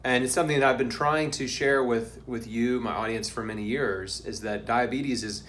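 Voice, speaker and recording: A man hosting a casual video interview, talking informally and haltingly with plenty of fillers. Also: medium pitch, fairly even intonation, a bit bright. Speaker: host. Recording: faint background noise.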